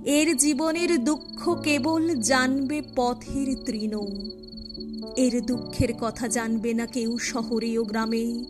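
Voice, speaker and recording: A woman reciting a poem in a dramatic, expressive style, with measured, emphatic phrasing and drawn-out words. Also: on the high side. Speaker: poetry reciter. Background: music.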